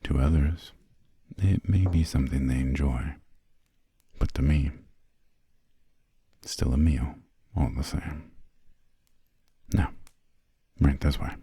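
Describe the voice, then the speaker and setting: An aristocratic vampire master of a castle, performed by a man, speaks extremely low in a husky, breathy murmur. His delivery is slow and genteel, with an imperious formality suited to intimate ASMR roleplay. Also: dark tone, no room sound, exaggerated voice. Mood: formal, commanding. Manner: formal, haughty, old-fashioned genteel phrasing. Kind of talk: whispered ASMR roleplay, slow scripted monologue.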